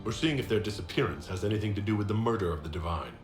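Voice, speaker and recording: An actor playing a courteous, composed hero in a fantasy video game, speaking calmly and directly. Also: male voice, on the low side, rapid-fire, close to an everyday voice. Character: composed, courteous heroic male investigator. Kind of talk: video game cutscene acting. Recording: roomy echo with music behind.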